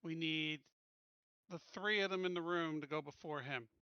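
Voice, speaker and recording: Male tabletop player, crisp and forward voice, casual tactical talk, dry and slightly dull recording. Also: a little high, normal speed, no room sound. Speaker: player in a tabletop roleplaying game.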